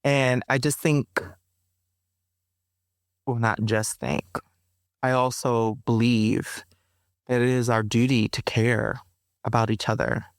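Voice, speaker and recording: A woman who is a poet and interview guest, talking in an emphatic, expressive way. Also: rumbling low, slow, pauses often, no room sound, clean studio sound. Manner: emphatic, laughs often while talking. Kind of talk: conversational interview, reciting own poem.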